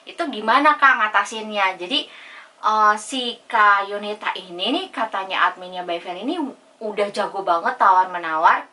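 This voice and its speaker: Bright-voiced woman, chatty vlogger. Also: mid-range voice, normal speed, distant mic. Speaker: YouTuber host. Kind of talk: casual vlog explainer, reading out chat messages.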